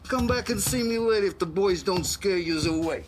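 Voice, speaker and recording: A jovial gang armourer played by a man, with a slightly high voice and a joking, streetwise way of talking. Music plays clearly behind him in this game cutscene. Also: a little fast, lightly acted. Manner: joking, colloquial.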